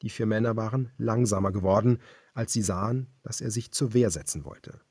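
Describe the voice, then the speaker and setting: A man narrating a thriller audiobook in a grave, measured, dramatic storytelling manner. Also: on the low side, a little mellow, breathy edge, dry recording, clean studio sound.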